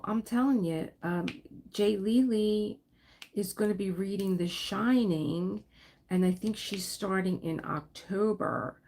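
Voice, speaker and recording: A woman hosting a relaxed livestream, chatting in a rambling way while she crafts and answers viewers. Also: fairly low pitch. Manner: rambling, chatty, laughs often, reads and answers viewer comments. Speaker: livestream host. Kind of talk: casual livestream chat while diamond painting.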